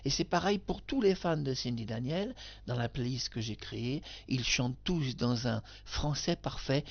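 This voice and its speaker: Male video commentator, gravelly, circles back and insists, muffled home recording. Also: mid-range voice, expressive, normal speed. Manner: emphatic, addresses the singer directly, repeats himself. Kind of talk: rambling spoken commentary to camera.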